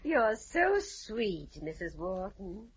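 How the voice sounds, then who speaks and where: An actress playing a sweet, fluttery, hesitant gentlewoman in an old-time radio drama. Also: medium pitch, very animated, takes it slowly, husky, full character voice. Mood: flustered. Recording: muffled old radio recording.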